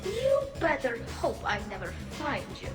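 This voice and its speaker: A teasing young alien engineer in a video game. The delivery is slow and expressive, with wide pitch swings, an echo on the voice and music behind. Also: female voice, exaggerated voice. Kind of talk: video game character acting.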